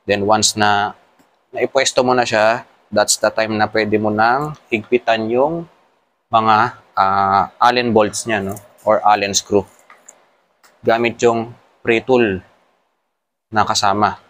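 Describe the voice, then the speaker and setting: A man's voice: lowish voice, fairly even intonation, slow, long pauses, fairly bright tone, rough recording. A man with a Filipino accent giving a casual hands-on tutorial as a motovlogger, explaining step by step. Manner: explanatory, mixing Tagalog with English terms.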